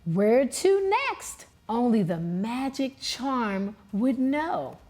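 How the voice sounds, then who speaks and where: Expressive, lively woman reading a children's story. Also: a little high. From New York. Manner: deliberate, expressive. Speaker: children's read-aloud host.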